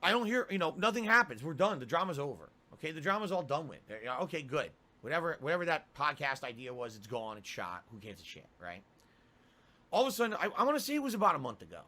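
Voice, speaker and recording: A man's voice: a little high, sing-song, fast, pushed and bright. A man telling a rambling story on his livestream. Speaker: streamer. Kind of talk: clip from a livestream.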